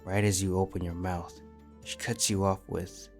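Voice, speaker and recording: A man with a deep, low voice narrates a fantasy tale. He reads from a script in the second person, as a storyteller, over faint background music. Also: little pitch movement, moderate pace.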